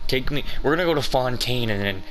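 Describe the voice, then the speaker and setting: A male gaming streamer with a bright, forceful voice. He talks informally and swears, commenting in an exasperated way over faint music. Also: medium pitch, expressive, fast, poor audio. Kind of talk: casual playthrough commentary.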